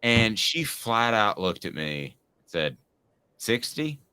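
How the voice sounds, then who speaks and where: Animated bright-voiced man in his forties. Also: lowish voice, slow, clear, resonant tone, no echo. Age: 40s. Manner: rambling, self-mocking storyteller. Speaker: sports radio host. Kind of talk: casual sports talk.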